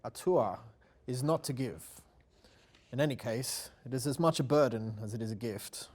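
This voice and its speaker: A man's voice: mid-range voice, moderate pace, a little mellow, close to an everyday voice. A man playing a weary, humble father who once led his tribe, at a table read of a fantasy drama. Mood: grave, resigned.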